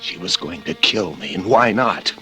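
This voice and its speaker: A haunted killer in hiding, narrating a vintage radio thriller in a low voice. He speaks theatrically, haltingly, repeating himself in dread, over dramatic music. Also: animated, bright, forceful tone. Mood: haunted, frantic. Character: desperate man hiding out, haunted killer fearing his wife. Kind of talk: 1940s radio drama acting, first-person suspense narration. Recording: old radio recording with organ music.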